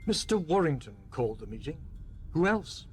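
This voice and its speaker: Radio play casting: dutiful, curt male office employee, acted by a man, slightly high voice, matter-of-fact monologue. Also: big pitch swings, normal speed. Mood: curt, defensive.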